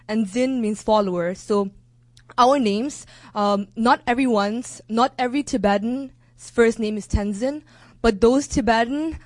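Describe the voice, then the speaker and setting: A young woman from India, a university student, introducing herself to a gathering with hesitant pauses and fillers. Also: fairly high pitch, darkish tone, no room sound. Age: young adult. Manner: hesitant, many fillers and restarts. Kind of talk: casual public introduction.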